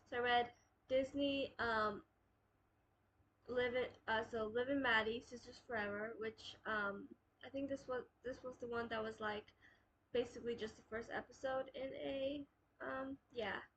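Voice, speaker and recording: Young woman sounding stuffed up w a cold, kinda high voice, lots of ums, chatty rambling vlog. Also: fairly bright tone, a little hiss or hum, rough recording. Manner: rambling, many ums and restarts. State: nasal from a cold. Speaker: booktube host. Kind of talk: casual monthly reading wrap-up.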